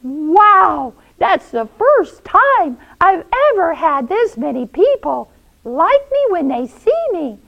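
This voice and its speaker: A woman voicing a friendly, excitable, slightly shy male dragon in a children's story. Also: high voice, a little slow, dull audio, pitched above the performer's own voice. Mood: amazed.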